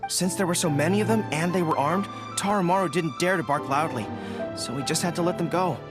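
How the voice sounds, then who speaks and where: A woman's voice: on the low side, brisk, over music, close to an everyday voice. A woman voicing an earnest, polite young male general with canine ears in a video game, often thinking aloud. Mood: thoughtful.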